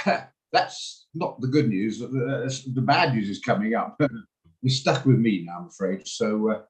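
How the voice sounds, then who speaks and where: A man's voice: medium pitch, a little mellow, low-quality recording. A man hosting a live online trading session over a video call, greeting people by name and talking in a rambling, self-deprecating way with lots of fillers. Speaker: trading room host.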